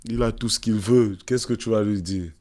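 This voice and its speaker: Young man from Cameroon, deep voice, very low-pitched, reflective, casual interview talk. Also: fairly even intonation, brisk, warm tone. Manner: switches between French and English, reflective. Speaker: guest musician.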